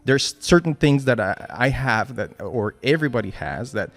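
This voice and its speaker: A man with a Spanish accent speaks at an ordinary mid pitch, leading a team meeting over a video call. He talks in halting bursts, repeating words and tagging "right?" onto his points. Faint music plays underneath. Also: animated, gravelly, a bit bright. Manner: halting, many restarts and "right?" tags. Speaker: team lead giving a motivational talk. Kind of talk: informal motivational talk at a work meeting.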